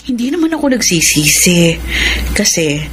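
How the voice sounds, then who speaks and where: A woman's voice: on the low side, very animated, moderate pace, a little hiss or hum. An actress playing a caring, frank wife and doting mother in a Filipino radio drama, with a Filipino accent. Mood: tender, forgiving. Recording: radio drama with loud music bed.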